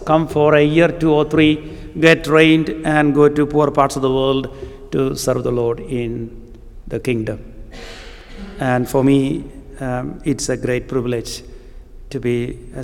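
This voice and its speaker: A middle-aged man from Kerala, India, with a Malayali Indian accent and Malayalam as his first language, preaching a sermon as a guest, halting with frequent ums. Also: mid-range voice, smooth. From Kerala, southern India. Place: church with long reverb. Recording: reverberant church hall.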